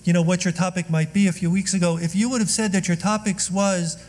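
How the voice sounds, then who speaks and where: A man's voice: high-pitched, steady tone, fast, a little mellow. A man introducing a guest speaker at a synagogue event, chatty and joking, with many fillers. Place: synagogue hall. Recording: long hall reverb.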